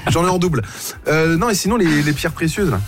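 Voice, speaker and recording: A man's voice: on the high side, a little fast, over music. A man on a radio team chatting casually about his hobbies, chuckling. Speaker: radio co-host. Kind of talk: studio banter.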